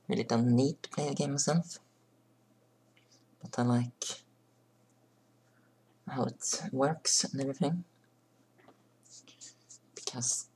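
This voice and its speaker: A hoarse young man chatting casually to his webcam in a small bedroom, rambling and clearing his throat. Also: mid-range voice, darkish tone. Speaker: vlogger. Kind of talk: casual makeup vlog chat. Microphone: webcam close up.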